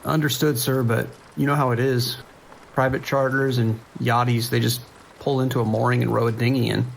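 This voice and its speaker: A man playing a calm, wry sheriff's dispatcher with a dry, reassuring manner in an audio drama. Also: mid-range voice, normal speed, creaky, slight background noise, natural voice, low-quality recording. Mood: wry, attentive. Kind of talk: naturalistic audio drama acting.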